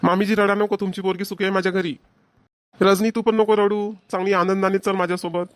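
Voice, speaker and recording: A man's voice: high-pitched, level tone, a little fast, no room sound, lightly acted. A man playing a respectful, reassuring young son-in-law in a cartoon, with a Vidarbha Marathi accent. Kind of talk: amateur cartoon voice acting.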